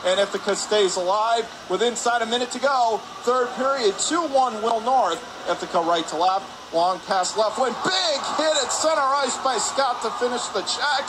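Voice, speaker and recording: High-voiced man calling live hockey. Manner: excitable, shouts on big plays. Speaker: play-by-play announcer. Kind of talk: live hockey radio commentary. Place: indoor ice hockey arena. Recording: noisy arena broadcast with rink ambience. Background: loud ambient arena noise.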